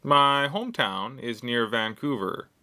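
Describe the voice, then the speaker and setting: Bright, clear-voiced Canadian man in his thirties. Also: mid-range voice, lively intonation, unhurried. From Canada, West Coast. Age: 30s. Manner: simple sentences, frequent "uh" fillers, questions to the viewer. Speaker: English teacher. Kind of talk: slow, clear lesson monologue for learners.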